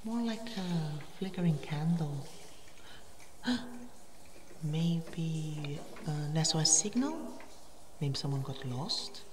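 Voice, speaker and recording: A woman's voice: low voice, expressive, slow pace, long pauses, a little mellow. A woman playing a headstrong, curious cave explorer in an audio drama, speaking in an eager, goading, dramatic way. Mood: urgent, goading. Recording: echoey cave-like reverb, distant, faint noise.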